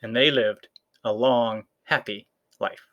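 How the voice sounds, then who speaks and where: A man's voice: mid-range voice, steady tone, takes it slowly, pushed and bright, highs cut off. A man reading a children's folktale aloud as scripted storybook narration. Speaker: story reader.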